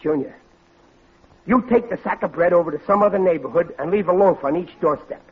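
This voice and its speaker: A middle-aged American working stiff from a 1940s radio comedy, sheepish and bumbling. His voice is husky and high-pitched, and he stammers and blusters ungrammatically. It comes through a thin, phone-like old recording. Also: a little fast. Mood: glum, scheming. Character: bumbling, sheepish, guilt-ridden working-class American husband and father.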